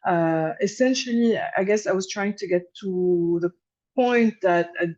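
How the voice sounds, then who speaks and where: A woman's voice: lowish voice, a little mellow, lo-fi sound. A woman giving an informal guest lecture and leading discussion, talking conversationally with fillers and restarts.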